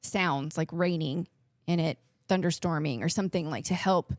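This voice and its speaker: Low-voiced chatty woman podcaster. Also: normal speed, no room sound, clean studio sound. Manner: chatty, reads facts aloud, laughs easily. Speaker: podcast co-host. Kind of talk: casual podcast chat.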